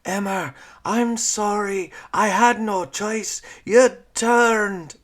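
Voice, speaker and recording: A man voicing an older woman martial artist, a weary loner who speaks curtly and flatly. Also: fairly low pitch, a little slow, lightly acted. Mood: shouting. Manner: curt and flat.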